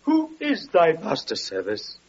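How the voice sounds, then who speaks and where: An actor playing a bitter, lame, evil-faced wanderer with mocking, archaic declamation in an old-time radio drama. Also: male voice, fairly high pitch, huge pitch swings, a little slow, breathy edge, heavily acted. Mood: cold challenging. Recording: muffled, noisy vintage radio recording.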